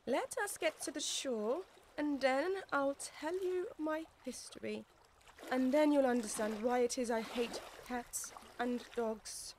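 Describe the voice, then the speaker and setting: A nervous little lady mouse in an audiobook drama. The voice is high, quivering and quick to take offence. Clear watery noise surrounds it. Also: a little mellow. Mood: grave, trembling. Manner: trembling, indignant delivery. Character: small, nervous, easily offended female mouse. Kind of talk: full-cast audiobook acting.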